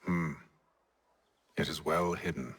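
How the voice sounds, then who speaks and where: An actor playing a cool, purposeful gentleman leader in a period video game, with a clipped, formal, probing manner. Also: extremely low pitch, steady tone, slow pace, husky, full character voice. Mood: restrained. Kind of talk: video game cutscene acting.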